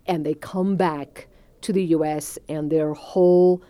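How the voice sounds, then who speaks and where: A woman in her forties with a South African accent and Afrikaans as her first language tells her own story, reflective and quick to laugh. Her voice sits low and mellow. The sound is close and dry. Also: steady tone, clean tone, dry recording. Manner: reflective, laughs easily, uses fillers. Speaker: business owner telling her story. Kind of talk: personal storytelling interview.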